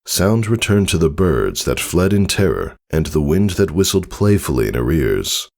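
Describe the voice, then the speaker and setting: Male audiobook narrator, extremely low register with heavy fry, animated intonation, dramatic measured delivery. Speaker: fan fiction narrator. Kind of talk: dramatic scripted story narration.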